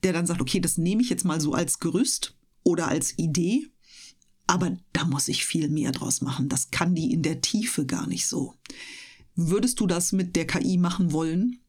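A woman's voice: fairly low pitch, expressive, normal speed, darkish tone, breathy edge. A woman chatting casually and explaining things as a guest in a radio interview.

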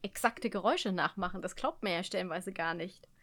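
A woman's voice: on the low side, fairly quick, a bit bright. A woman hosting a casual radio interview in a conversational style.

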